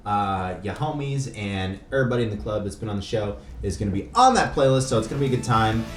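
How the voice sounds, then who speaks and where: A man's voice: on the low side, expressive, fast. A man hosting a podcast in casual, slangy, informal chat with some swearing. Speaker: podcast host. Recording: echoey room, music in background.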